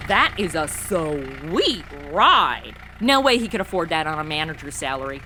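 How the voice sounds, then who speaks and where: A woman's voice: deep voice, big pitch swings, unhurried, noisy background. A woman playing a brash, ditzy, sarcastic modern young woman in a comedic audio drama, with slangy, theatrical delivery. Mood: dismissive.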